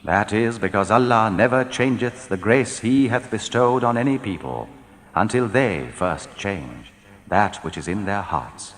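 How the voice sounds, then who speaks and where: A man reading translated scripture in a solemn, measured, declamatory style, letting his phrases fall at the end. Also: on the low side, lively intonation, slight background noise. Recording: long hall reverb.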